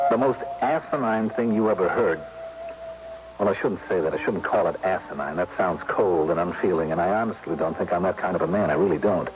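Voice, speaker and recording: An actor playing a weary, defensive policeman in a radio drama, earnestly justifying and correcting himself. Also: low-pitched. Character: weary, defensive, self-doubting working man, a policeman. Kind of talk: 1970s radio drama acting. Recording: muffled, noisy old radio recording.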